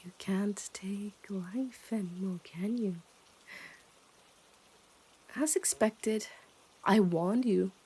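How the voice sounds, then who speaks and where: A woman acts out a possessive yandere girl who has kidnapped her listener. She talks very slowly at a middle pitch, smug and teasing, often slipping into whispers. It is an intimate, condescending ASMR roleplay monologue. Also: warm tone, slightly rough, full character voice. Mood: gloating.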